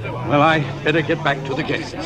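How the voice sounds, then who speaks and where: A man's voice: fairly high pitch, flat delivery, a little fast, lightly acted. An actor playing a stuffy older senator and father, fussy and authoritative, given to stammering, in 1950s radio drama style. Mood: concerned, brisk. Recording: crowd chatter, road noise.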